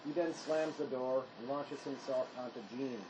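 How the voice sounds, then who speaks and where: A man's voice: mid-range voice, fairly even intonation, normal speed. A man reading stage directions as scripted narration. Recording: clear background noise.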